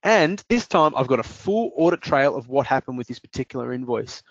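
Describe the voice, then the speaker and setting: Man giving a software walkthrough. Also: medium pitch, brisk, highs cut off. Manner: explanatory, steady walkthrough with fillers. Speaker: software demo presenter. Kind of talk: screen-share product demo.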